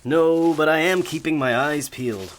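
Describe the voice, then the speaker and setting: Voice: mid-range voice, moderate pace, close to an everyday voice. An actor playing a prim, dry, calculating male magician in an audio drama, formal and precise. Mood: deadpan.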